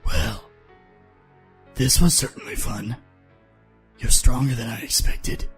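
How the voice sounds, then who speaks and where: Man playing a smug, confident fighter, medium pitch. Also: slow, faint music behind, lightly acted. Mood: smug, amused. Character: confident male fighter, smug and mocking in victory. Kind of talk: audio drama dialogue.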